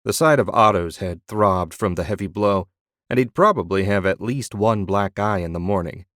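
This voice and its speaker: A man narrating a fantasy audiobook in measured storytelling. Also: lowish voice, a bit bright, dry recording, studio quality.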